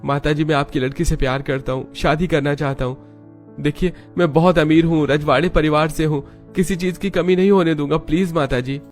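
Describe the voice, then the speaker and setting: A man's voice: a little high, steady tone, a little fast, soft background music, voice pushed up, lightly acted. A man voicing an earnest, lovesick young rich man who pleads in a cartoon story. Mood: pleading, lovesick. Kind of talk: animated moral story character voice.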